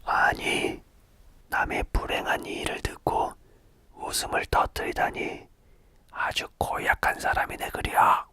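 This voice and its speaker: A man's voice: unhurried, sharp tone, dry recording. A man whispering the lines of worried, gossiping villagers in a folk tale. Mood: scolding.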